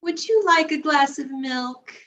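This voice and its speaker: A woman playing a suspicious, watchful mother in a readers theatre piece, firm and deliberate. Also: high-pitched, a bit bright. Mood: concerned.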